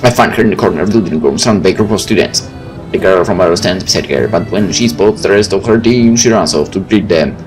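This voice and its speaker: A man's voice: low voice, quick pace. A man hosting a game video, reading story narration aloud and adding casual, wry asides. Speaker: gaming video host. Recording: faint game music behind the voice.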